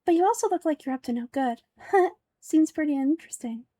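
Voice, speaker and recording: A woman's voice: high-pitched, lively intonation, brisk, dark tone, dry recording, pitched above the performer's own voice. A woman voicing a sweet, eager, girlish young dancer in a game script, earnest and breezy. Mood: cheerful, curious.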